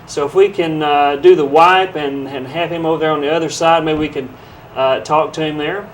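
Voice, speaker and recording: A man hosting a local TV interview puts questions to a guest, hesitating often. His voice sits a little high, and a faint hiss sits under it. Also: steady tone, moderate pace, rough recording. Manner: hesitant, reading questions with fillers.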